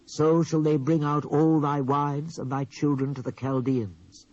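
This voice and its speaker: A man's voice: on the high side, flat delivery, a little slow, darkish tone, close to an everyday voice. Man voicing grave British prophet, lo-fi tape. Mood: urgent warning. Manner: emphatic, oratorical. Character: grave, insistent male prophet warning a king. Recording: dull lo-fi old cassette transfer.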